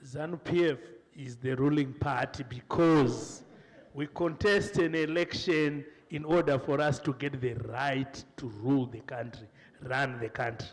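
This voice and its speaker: Measured male panelist, reverberant studio. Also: medium pitch, fairly even intonation. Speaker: ruling-party panelist. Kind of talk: political debate.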